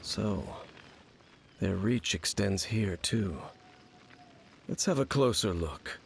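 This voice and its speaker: Slow, solemn, mysterious wanderer. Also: male voice, lowish voice, animated, gravelly, a little hiss or hum, full character voice. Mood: focused, calm. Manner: grave, measured, formal. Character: mysterious, solemn, aloof male wanderer of long experience. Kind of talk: fantasy video game voice acting. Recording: game music behind voice. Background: game music.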